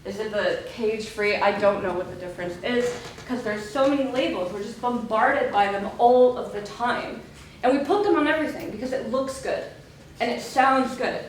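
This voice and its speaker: A woman's voice: on the high side, normal speed, a little hiss or hum. Young Mexican woman, American-sounding accent, chatty self-deprecating speaker, distant mic in an echoey hall. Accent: American-sounding English. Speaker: conference speaker, PhD researcher. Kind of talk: conference talk with jokes. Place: conference hall.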